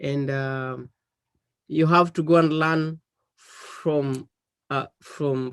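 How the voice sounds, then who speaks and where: Kenyan-accented male interviewee, first language Dholuo, slightly high and dry, halting with ums. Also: no room sound. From western Kenya. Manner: hesitant, with frequent ums and repeated words. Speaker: musician interviewee. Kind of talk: thoughtful interview answers.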